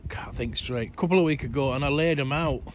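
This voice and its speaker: A middle-aged man with a croaky, worn-out voice, chatting in a rambling way while he gardens outdoors. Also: medium pitch, quick pace, a little hiss or hum. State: croaky voice, coughing. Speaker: allotment gardening vlogger. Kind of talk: casual garden update to camera.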